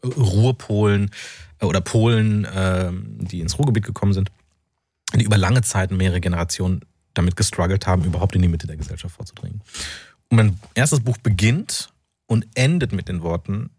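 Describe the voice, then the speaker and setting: A man from North Rhine-Westphalia, an author and journalist, speaking as a radio interview guest in a thoughtful, halting way with many fillers. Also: low-pitched, darkish tone, breathy edge, no echo, clean studio sound.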